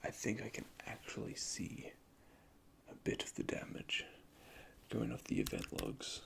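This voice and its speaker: A man whispering softly and methodically as he plays a repair technician in an ASMR roleplay, recorded close to the microphone. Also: low voice, unhurried, vocal fry. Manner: soft, calm, methodical, speaks in technical jargon. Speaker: ASMR creator playing an android repair technician.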